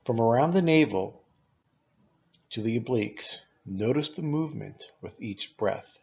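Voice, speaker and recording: A man leading a guided meditation as a teacher, speaking in a calm, unhurried, instructional way with long pauses. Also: mid-range voice, gravelly, breathy edge, muffled recording.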